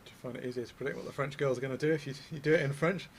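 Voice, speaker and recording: A man's voice: medium pitch, steady tone, background noise. A man co-commentating live on a pool match, joking and teasing his partner. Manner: quick, teasing, chuckles often.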